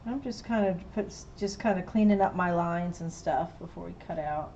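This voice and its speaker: A woman's voice: fairly low pitch, moderate pace, dark tone, muffled recording, slight background noise. A middle-aged woman teaching a painting class, chatting and laughing as she works in an indoor studio. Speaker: painting instructor. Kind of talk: casual chat while painting live.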